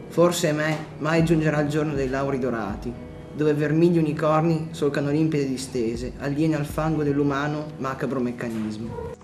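A man's voice: a little high, brisk, darkish tone, faint music behind. Young man declaiming a poem, echoey room. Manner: solemn, declamatory. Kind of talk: reciting a poem.

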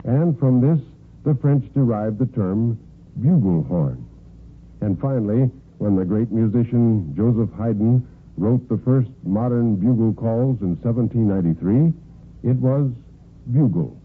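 Male announcer, very rough husky voice, lively intonation, formal declamatory reading, old phone-thin radio sound. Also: medium pitch, measured pace, phone-quality sound. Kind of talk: scripted vintage radio narration.

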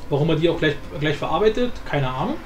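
Male tutorial presenter, high lively voice, blunt and casual, roomy sound with faint noise. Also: quick pace. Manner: informal, blunt, rambling. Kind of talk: casual software tutorial narration. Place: indoor room, voice-over for screen recording. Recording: roomy echo, light background noise.

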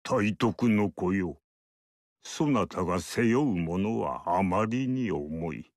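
An ageless mystic sage in a video game, calm and aloof, speaking in measured, archaic and lofty phrases. His voice sits low and unhurried, and the recording is dry and close. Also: dry recording, exaggerated voice. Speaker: game character. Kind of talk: game dialogue acting.

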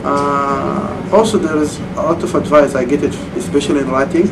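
A man in his thirties with a Saudi Arabian accent, a former student answering interview questions in halting English with frequent restarts, recorded in a computer lab. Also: medium pitch, fairly even intonation, creaky. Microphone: far camera mic. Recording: distant camera mic, air conditioning hum.

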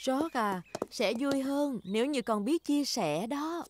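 Casting: patient cartoon mum pig, coaxing, soothing, fairly high voice. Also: female voice, lively intonation, takes it slowly, a little hiss or hum. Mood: gentle. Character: gentle, patient mother pig. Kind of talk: Vietnamese cartoon dub.

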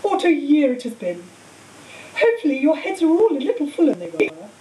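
A woman voicing a kindly, whimsical old male headmaster who speaks in a measured, ceremonial way. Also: sits high, sing-song, dark tone, pitched above the performer's own voice. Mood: cheerful, ceremonial. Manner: measured, ceremonial speech-making. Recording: hissy, roomy, distant microphone.

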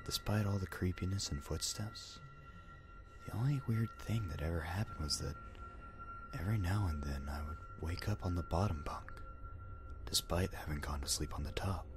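A man giving a dramatic reading of a first-person horror story in a hushed, breathy, deliberate way. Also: deep voice. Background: ambient music.

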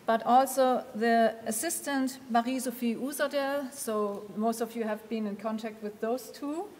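A woman's voice: on the high side, steady tone, measured pace, big-room sound, background noise. A woman organizing a conference gives welcome remarks and thanks, hesitant with many ums and uhs. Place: large hall at a lectern.

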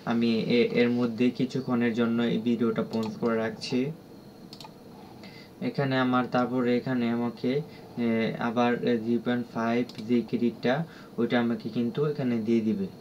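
A man with a Bangladeshi accent giving an unscripted app tutorial in a halting, repetitive, instructional way. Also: mid-range voice, darkish tone. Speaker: tutorial presenter. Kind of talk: unscripted screen-recorded app walkthrough. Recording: dull lo-fi audio with clear background noise.

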